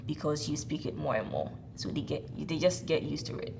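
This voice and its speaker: A woman's voice: low-pitched, sing-song, normal speed, gravelly, background noise. A woman hosting a casual interview, speaking in an earnest, encouraging way. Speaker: host.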